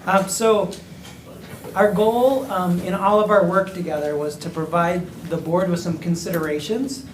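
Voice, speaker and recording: A man's voice: high-pitched, steady tone, unhurried, a little hiss or hum, rough recording. A man facilitating a community group, introducing its presentation to a school board in a chatty, emphatic, hedging way. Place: public meeting room.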